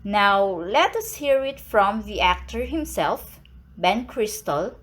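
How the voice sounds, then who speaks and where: A woman with a Filipino accent lectures on English sounds, pronouncing letters and sounds one at a time. She talks very slowly and deliberately at a mid pitch, like a teacher going over slides. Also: lively intonation, a bit bright. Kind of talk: slow, deliberate classroom lecture.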